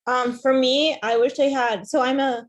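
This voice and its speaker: A woman's voice: high voice, fairly even intonation, moderate pace. A young woman from Ethiopia whose first language is not English, speaking earnestly on a panel about foster care with many fillers and restarts. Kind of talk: panel discussion.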